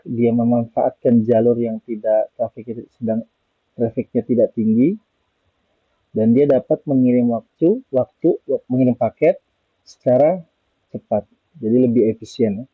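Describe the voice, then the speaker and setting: A man with an Indonesian accent gives an informal slide presentation, explaining point by point in a halting way and repeating his phrases. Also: mid-range voice, slightly rough, no room sound. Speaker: student presenter reviewing an article. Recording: muffled phone-like narrowband audio, dry.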